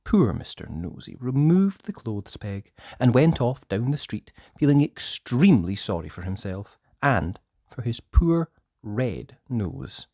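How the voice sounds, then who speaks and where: Children's book narrator, theatrical and deliberate, very wide swoops of pitch, slow delivery, dry close sound. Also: big pitch swings, dry recording. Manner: theatrical, deliberate, with hushed passages and emphatic words. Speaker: storyteller reading a children's book aloud. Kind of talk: expressive children's story narration.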